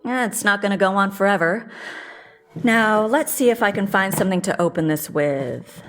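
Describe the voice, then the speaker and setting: A woman's voice: mid-range voice, moderate pace, lightly acted. A woman acting in an audio drama as a practical, dry-humoured woman who takes charge, in naturalistic dialogue. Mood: dry, resolute.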